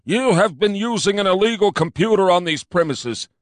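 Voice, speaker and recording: A man's voice: high voice, expressive, normal speed, no room sound, clean studio sound, pitched above the performer's own voice. A man voicing an immensely fat, officious police commander who talks in a pompous, overbearing way, in an audiobook reading. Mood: accusing.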